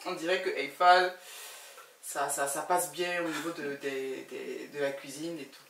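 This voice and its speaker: A woman's voice: low-pitched, fairly even intonation, measured pace, creaky, fairly bright tone. A woman hosting a conversational interview, often repeating and rephrasing what she asks. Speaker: talk show host, interviewer. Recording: roomy, distant mic, faint noise.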